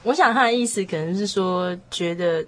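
A woman's voice: on the low side, normal speed, a bit bright. A woman with a Taiwanese Mandarin accent sharing thoughts in a casual, tentative, reflective way as a panelist. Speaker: discussion panelist.

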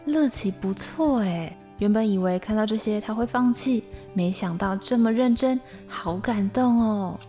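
A woman's voice: medium pitch, unhurried, hardly any pauses, soft background music, natural voice. A young woman acting a responsible, caring language tutor in an audio drama, instructive and reassuring. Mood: earnest. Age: young adult. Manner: instructive, reassuring, gently strict.

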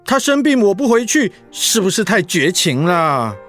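A man's voice: sits high, sing-song, normal speed, fairly bright tone, soft background music. A man playing a torn, earnest, dutiful son and husband in a radio drama. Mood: torn, pleading. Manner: hesitant, earnest.

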